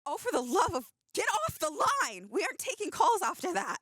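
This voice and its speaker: A woman playing a tense, exasperated radio co-host in an audio drama, sharp, pleading and insistent. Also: very high-pitched, sing-song, brisk, no echo, clean studio sound, exaggerated voice. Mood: sharp, annoyed.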